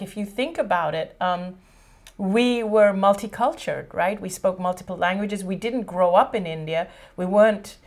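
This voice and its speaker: A middle-aged woman from Hong Kong hosting a casual chat indoors, talking easily, searching for words now and then and laughing readily. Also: mid-range voice. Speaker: host. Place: indoor studio set with a painted brick wall.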